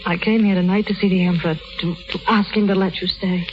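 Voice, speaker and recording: Actress as an earnest duchess, muffled old radio. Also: female voice, lowish voice, fairly even intonation, slight background noise, close to an everyday voice. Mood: anxious, wistful. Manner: halting, earnest, emotional. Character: plain-spoken, lovelorn, determined young duchess of common birth. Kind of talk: 1930s radio acting. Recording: muffled 1930s radio broadcast.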